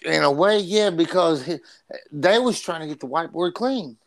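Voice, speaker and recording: A man with a slight stutter co-hosts a loose podcast, cursing freely and stumbling over his words as he gets excited. His voice sits a little high for a man. The sound is dry and close, with almost no room behind it. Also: moderate pace, dry recording. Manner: animated, profane, stumbles and repeats words. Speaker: podcast co-host. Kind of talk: casual podcast chat.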